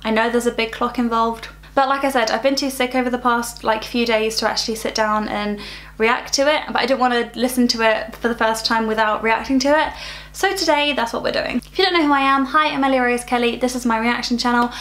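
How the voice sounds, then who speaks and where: Upbeat girl in her 20s w a cold, kinda nasal, highish voice, chatting to camera in a small room. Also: fairly even intonation, a little fast, a bit bright. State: sick, slightly nasal. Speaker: reaction channel host. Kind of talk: casual music reaction chat. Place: small bright room with plants.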